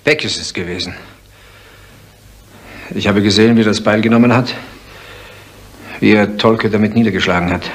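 An actor playing a tense, husky eyewitness, emphatic and insistent, in a seventies radio crime drama. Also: male voice, on the low side, fairly even intonation, moderate pace, pauses often, close to an everyday voice. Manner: emphatic, repetitive. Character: tense male eyewitness insisting on his story. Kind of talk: 1970s German radio crime drama acting.

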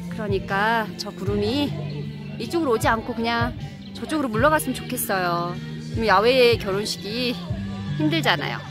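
A woman's voice: on the high side, lively intonation, moderate pace. A woman narrating a wedding in a casual, chatty way, laughing often. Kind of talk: casual voice-over commentary. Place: outdoors at a wedding in Kenya. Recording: with music playing behind.

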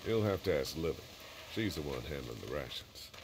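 A weary american survivor leader, low gravelly voice, swings around a lot, noisy background. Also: male voice, expressive, a little fast. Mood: weary, steady. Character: weary, protective survivor, calm leader of men. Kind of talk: video game voice acting.

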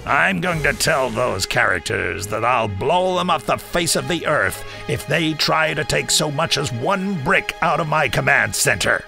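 A man's voice: fairly high pitch, animated, a little slow, vocal fry, few pauses, music in the background, pitched above the performer's own voice, heavily acted. A man voicing an irate, stubborn military commander who barks orders in an audiobook story. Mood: furious, commanding. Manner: barking, furious. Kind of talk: audiobook character acting.

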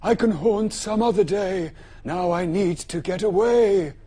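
Man doing a high, gasping panicky ghost. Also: measured pace, dark tone, husky. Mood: panicked. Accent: English. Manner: gasping, urgent. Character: panicky ghost clutching his toast.

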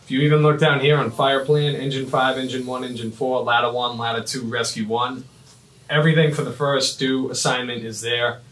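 A man in his thirties giving a step-by-step software demonstration in short, clipped instructional phrases. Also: medium pitch, little pitch movement, fairly quick. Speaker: fire dispatch trainer. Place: small indoor office at a computer desk. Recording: roomy, echoing, mic at a distance.

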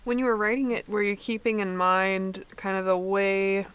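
Clear-voiced woman co-hosting radio. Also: medium pitch, flat delivery, normal speed, fairly bright tone. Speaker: radio co-host. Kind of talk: interview conversation.

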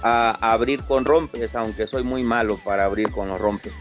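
A man's voice: lowish voice, steady tone, moderate pace, faint music behind. A young man with a Mexican Spanish accent, answering interview questions casually and repeating his words as he talks. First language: Italian-born, raised in Mexico. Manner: friendly, repeats words, frequent fillers. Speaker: interview guest, top game player.

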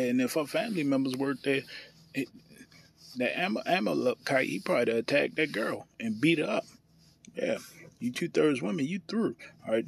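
A man's voice: mid-range voice, vocal fry, husky, no room sound. An American man giving casual, off-the-cuff religious commentary in an informal, preachy manner. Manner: informal, preachy, peppered with "you know" and "hey". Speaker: commentator reacting to a news clip.

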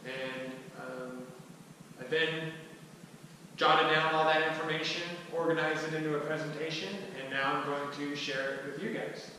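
A man in his thirties giving an informal, conversational explanatory talk to a small group, with somewhat halting delivery. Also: a little high, level tone, pushed and bright. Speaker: presenter at a skillshare. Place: large indoor room with wooden floor and mirror. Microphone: distant camera microphone. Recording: echoey hall, far from mic, faint noise.

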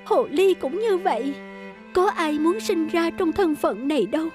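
A woman voicing a gentle, devoted, sorrowful young fox-spirit wife in a cartoon fairy tale. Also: very high voice, expressive, unhurried, soft background music.

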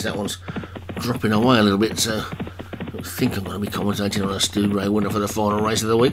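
A man commentating a video game horse race for an online league, chatting between calls, his voice getting croaky. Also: lowish voice, background noise.

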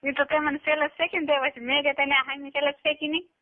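Woman, twenties, high voice, casual and noncommittal, heard over a narrow telephone line. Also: level tone, quick pace. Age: 20s. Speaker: call participant. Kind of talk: casual private phone chat. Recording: tinny phone call recording.

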